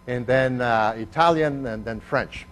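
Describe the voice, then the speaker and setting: An older man lecturing on chemistry with a blended foreign accent, his first language being Spanish. He rambles through asides with plenty of "uh" pauses and a wry, self-mocking humor. His pitch sits at an ordinary middle level for a man. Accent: mixed foreign accent, Spanish first language. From Argentina. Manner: digressive and self-deprecating, with frequent "uh" pauses. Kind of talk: university lecture.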